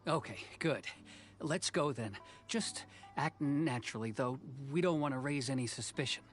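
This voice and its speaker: A man's voice: medium pitch, expressive, moderate pace, faint music behind, close to an everyday voice. An actor playing an earnest, modest young male craftsman in a video game, speaking politely and apologetically. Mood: hushed urgency. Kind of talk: video game character acting.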